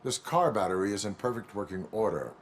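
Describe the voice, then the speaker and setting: An actor playing a stern robotic cyborg police officer in a video game, speaking formally in a clipped, deadpan way. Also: male voice, on the low side, a little fast, lightly acted. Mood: flat, official. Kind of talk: video game cutscene acting.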